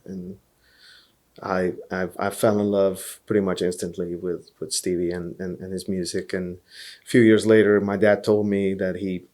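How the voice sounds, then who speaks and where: A man's voice: sits low, a little mellow. A man from Hungary with a Hungarian accent, telling his story as a podcast guest in an earnest way, often repeating words as he thinks. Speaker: podcast guest, blues musician. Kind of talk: relaxed interview storytelling.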